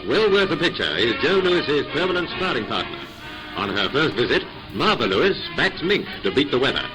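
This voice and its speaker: A man's voice: mid-range voice, sing-song, fairly quick, poor audio. A man reading scripted vintage newsreel narration in a formal, polished announcing manner. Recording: old newsreel soundtrack with loud music.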